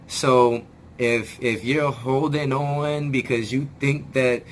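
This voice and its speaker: A man talking straight to his viewers in a rambling self-help vlog, often restarting words and swearing casually, his voice still hoarse as it comes back. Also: mid-range voice, fairly even intonation, slow, slight background noise. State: hoarse, regaining lost voice. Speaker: video channel host.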